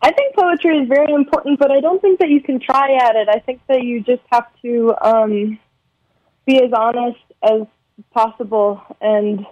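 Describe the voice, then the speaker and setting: Brief: young woman songwriter, measured and hesitant, dry muffled remote audio. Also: fairly high pitch, no echo. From San Fernando Valley, California. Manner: soft-spoken, thoughtful, hesitant with many ums. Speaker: singer-songwriter guest. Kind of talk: remote interview.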